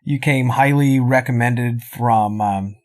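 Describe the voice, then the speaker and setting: A man's voice: medium pitch, slow pace. A man hosting a podcast interview, talking in a chatty, rambling way with many fillers. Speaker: podcast host. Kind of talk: casual interview chat.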